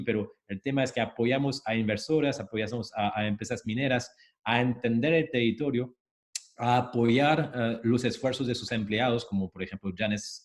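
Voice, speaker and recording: Low-voiced man, strong French accent. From French-speaking country. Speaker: panel speaker, academic and consultant. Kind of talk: informal presentation.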